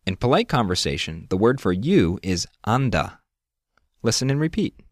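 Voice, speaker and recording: A man's voice: lowish voice, big pitch swings, moderate pace, no echo, studio quality. A man hosting a scripted language lesson, explaining step by step and prompting the listener with questions. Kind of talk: scripted instructional narration.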